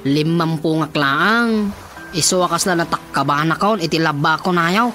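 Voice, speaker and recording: A man's voice: on the high side, normal speed, sharp tone, faint music behind. An actor with an Ilocano Filipino accent playing a chatty, cheeky young male relative in a radio drama comedy. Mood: explaining.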